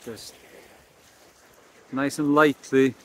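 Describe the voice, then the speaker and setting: A man's voice: medium pitch, steady tone, very slow. A middle-aged man chatting to the camera on a pebbly beach by the sea, explaining his fishing as he works. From Wales. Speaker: fishing vlogger. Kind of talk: casual chat to camera. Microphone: camera close up, held or on a stand.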